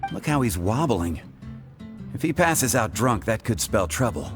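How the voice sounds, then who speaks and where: A man's voice: on the low side, big pitch swings, measured pace. A man playing a busy, affable tavern keeper in a fantasy video game, with a courteous, chatty way of talking. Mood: affable, thoughtful. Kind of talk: scripted video game acting. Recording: game music behind the voice. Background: music.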